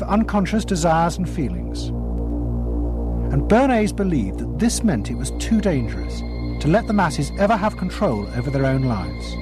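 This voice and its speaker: A man narrates a documentary in a calm, measured way, his voice sitting a little high and moving up and down a lot. Music plays clearly underneath the narration.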